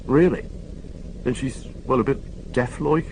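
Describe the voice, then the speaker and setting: A man's voice: medium pitch, unhurried, darkish tone, a little hiss or hum, voice pushed up, natural voice, poor audio. A man reading an audiobook, voicing a dry, sardonic middle-aged detective inspector. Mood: impatient.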